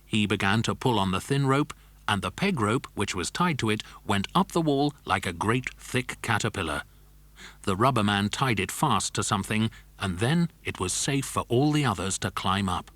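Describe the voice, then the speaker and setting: Brief: male narrator for children's adventure audiobook, lowish pitch, close dry studio sound. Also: animated, normal speed, fairly bright tone, dry recording. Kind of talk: scripted children's story narration.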